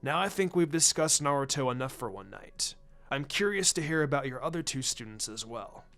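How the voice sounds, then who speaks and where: Man voicing a grave, low-toned old village leader. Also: lively intonation, a little fast, vocal fry, a bit bright, faint music behind. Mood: curious. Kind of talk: storybook character voice.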